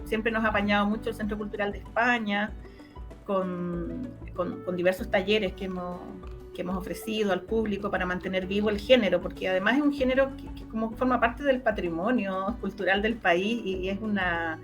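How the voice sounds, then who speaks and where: Halting Chilean woman in an interview. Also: medium pitch, steady tone, music in the background. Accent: Chilean Spanish. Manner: halting, repeats words and restarts often. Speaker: interviewed radio drama producer. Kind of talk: conversational interview answers.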